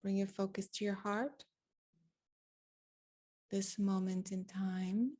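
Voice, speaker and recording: A woman guides a meditation at a very unhurried pace. Her voice sits at an average pitch for a woman. She gives instructions in short, deliberate phrases, leaving long pauses between them. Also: steady tone, dark tone.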